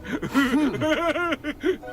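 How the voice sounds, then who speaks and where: An actor playing a rowdy, jeering ghost bully in a video game. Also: male voice, very high voice, expressive, extremely slow, over music, full character voice. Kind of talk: video game character acting.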